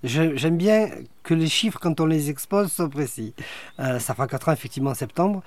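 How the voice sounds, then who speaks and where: A middle-aged man, a magistrate, answering interview questions in a measured, precise way with dry humor. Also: a little high, lively intonation, dry recording. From France. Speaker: guest, magistrate.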